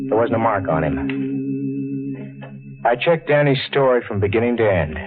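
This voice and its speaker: An actor playing a skeptical reporter who also narrates, in 1940s radio acting on a tinny old broadcast. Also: male voice, mid-range voice, lively intonation, moderate pace, over music, lightly acted. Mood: narrating. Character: skeptical hard-boiled male reporter.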